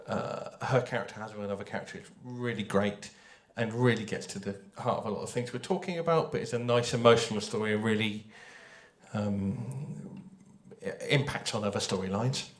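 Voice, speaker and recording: A man speaking off the cuff in a panel discussion, enthusiastic but halting, as an audio drama creator. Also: lowish voice. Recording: echoey room.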